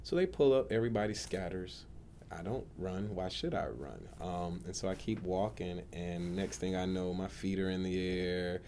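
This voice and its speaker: Male public defender, 30s, low voice, earnest autobiographical storytelling, deliberate delivery, light background noise. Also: mellow. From United States, Atlanta area. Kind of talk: personal testimony, autobiographical storytelling.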